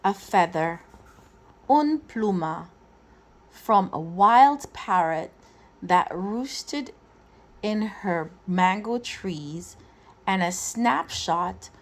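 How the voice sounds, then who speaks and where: Lady doing a storybook read aloud, slow n careful, super expressive pitch, acts out the lines. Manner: deliberate phrasing, acts out dialogue.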